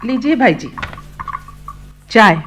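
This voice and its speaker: An actress playing a caring middle-aged mother in a radio play, gentle, polite and solicitous. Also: on the high side, animated, slow, soft background music.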